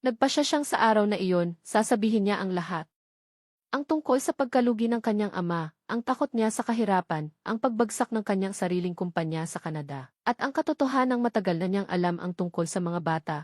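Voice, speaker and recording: A woman's voice: mid-range voice, lively intonation, a little fast, darkish tone, no echo, studio quality. A woman reading a scripted romance story as a dramatic audiobook narrator.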